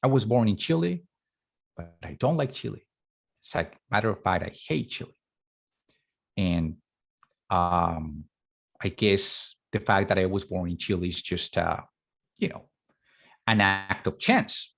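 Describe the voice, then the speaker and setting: Lively male art lecturer. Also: low voice, a little slow, creaky, long pauses. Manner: animated, thinking aloud with fillers and self-corrections. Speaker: art talk presenter.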